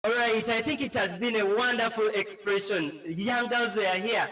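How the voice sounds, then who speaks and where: A man's voice: sits high, normal speed, long reverb tail, rough recording. A man, a TV presenter interviewing students at a school event in a playful, teasing, sing-song way. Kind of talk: casual on-the-spot interview.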